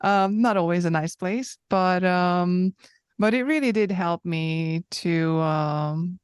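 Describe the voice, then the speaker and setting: A woman in her thirties with a low, smooth voice, recounting her story as an interview guest. She speaks slowly and reflectively, pausing often. The sound is dry and close. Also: no echo. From Hungary. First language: Hungarian. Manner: thoughtful and reflective, with frequent pauses.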